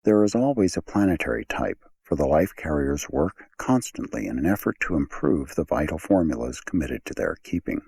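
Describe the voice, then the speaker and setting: Very deep, gravelly male narrator. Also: big pitch swings, a little mellow. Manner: measured, formal reading. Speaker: audiobook narrator. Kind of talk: scripted audiobook narration.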